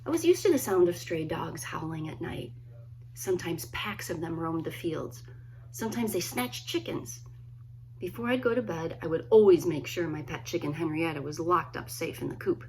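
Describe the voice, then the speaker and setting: A woman, a children's author, reading a story aloud expressively, with dramatic pauses and hushed emphasis. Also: sits low, lively intonation, a little hiss or hum, poor audio. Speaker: children's book author reading own work. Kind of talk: expressive storybook read-aloud, friendly introduction.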